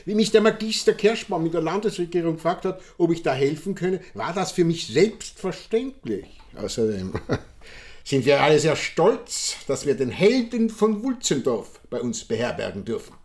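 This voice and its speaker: A genial, slightly high-voiced Austrian count. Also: male voice, big pitch swings, moderate pace, a little mellow. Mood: smooth, evasive. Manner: courtly, chummy, evasive, long-winded. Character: genial, self-satisfied, wheedling village count. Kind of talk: German-language radio drama acting.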